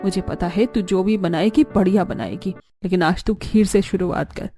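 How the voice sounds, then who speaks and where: A woman's voice: medium pitch, normal speed, warm tone, soft background music, lightly acted. A woman playing a doting, homely village mother-in-law in a cartoon story, affectionate and full of praise. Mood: affectionate, instructing. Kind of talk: cartoon story dialogue acting.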